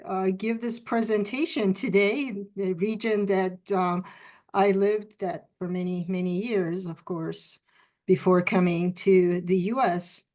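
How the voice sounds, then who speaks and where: A woman's voice: mid-range voice, steady tone, unhurried, darkish tone. A middle-aged woman from Turkey who grew up in Italy, a food science professor giving an unscripted lecture over a video call, with frequent ums and uhs. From Turkey, raised in Italy.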